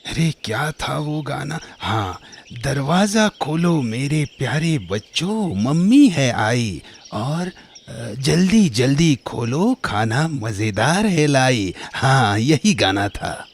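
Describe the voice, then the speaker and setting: A man playing a sly, hungry wolf who schemes in a drawn-out way in a children's cartoon. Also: medium pitch, sing-song, creaky, a little hiss or hum, full character voice. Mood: scheming. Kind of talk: children's cartoon story acting.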